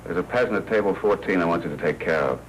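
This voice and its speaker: An American actor playing a commanding gambling-club crime boss in an old film noir. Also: male voice, low-pitched, fast talker, close to an everyday voice. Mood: cool, commanding. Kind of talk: 1940s film noir acting. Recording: noisy old 1940s film soundtrack.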